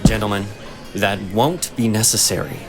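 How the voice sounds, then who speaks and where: An actor playing a wry, smooth-talking mentor from a magical order in a fantasy audio drama. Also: male voice, lowish voice, normal speed, close to an everyday voice. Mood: wry, assured. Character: glib male member of a magical order, mischievous mentor. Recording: music under the dialogue.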